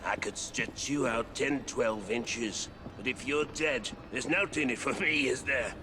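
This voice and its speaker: An actor playing a gloating, sadistic Victorian chief warder in a video game, with a rough working-class London accent and a crude, bullying manner. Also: male voice, medium pitch, a little slow, background noise, lightly acted. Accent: English, working-class London. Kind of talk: video game character acting. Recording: music behind the voice.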